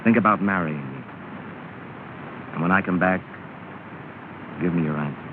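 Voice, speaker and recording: Through a thin, crackly old movie soundtrack comes a man acting a jealous gentleman suitor. His voice sits fairly low, and he speaks in a clipped, haughty way, in the style of early talkie romance. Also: moderate pace, narrow, tinny audio, close to an everyday voice. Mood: lovesick, sulky. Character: jealous, sulky well-off male suitor, curt with rivals. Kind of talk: 1930s film acting. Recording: scratchy, thin, noisy 1930 film soundtrack.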